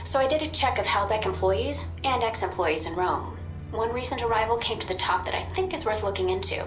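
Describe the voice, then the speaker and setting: A woman's voice: medium pitch, animated, close to an everyday voice. Woman acting a composed intelligence handler, roomy sound. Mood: businesslike. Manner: measured, matter-of-fact, dryly teasing. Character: composed, wry female intelligence handler briefing an agent. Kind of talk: video game voice acting. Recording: roomy sound with music behind.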